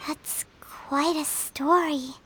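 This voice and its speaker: A woman voicing a small childlike fairy girl companion in a video game, squeaky, cutesy and earnest. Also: steady tone, very slow, sharp tone, slight background noise, full character voice, poor audio. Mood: puzzled. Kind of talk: scripted video game cartoon-like acting.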